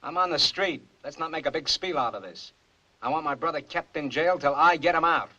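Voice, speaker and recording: An actor in an old film playing a smooth, persuasive racketeer lawyer. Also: male voice, a little high, a little fast, pushed and bright, slight background noise. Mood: curt. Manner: persuasive, coaxing. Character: smooth-talking racketeer lawyer, younger brother. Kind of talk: 1940s film acting. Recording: dull old film soundtrack.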